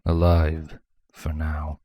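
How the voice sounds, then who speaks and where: A man's voice: extremely low pitch, monotone, drawn-out words, dark tone, dry recording, clean studio sound, pitched below the performer's own voice, full character voice. A man voicing a grave, blunt and weary unicorn doctor in a fantasy storybook reading.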